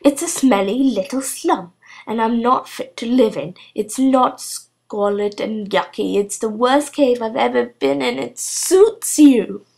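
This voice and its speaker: A woman's voice: a little high, measured pace, creaky, darkish tone. Snooty female witch for a children's storybook, played by a woman, cold, blunt and easily disgusted. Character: haughty, disdainful, vain female witch.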